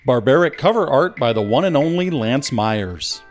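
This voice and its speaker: A man reads a scripted credits announcement as the show's writer. His medium-pitched voice is lively and varied. Faint music plays behind him. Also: normal speed. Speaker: writer and composer reading the credits.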